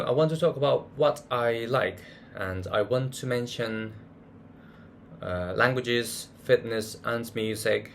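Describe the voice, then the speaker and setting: A man's voice: fairly low pitch, creaky, a little hiss or hum. A man chatting casually through a monthly recap vlog, hunting for words with many fillers and restarts. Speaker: vlogger.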